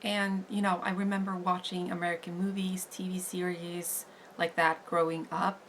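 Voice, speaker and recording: A Norwegian woman in her thirties with a Norwegian accent, chatting casually on her beauty channel, unhurried and full of "like" fillers. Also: on the low side, little pitch movement, fairly bright tone, a little hiss or hum. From Norway. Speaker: beauty vlogger. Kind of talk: casual get-to-know-me chat.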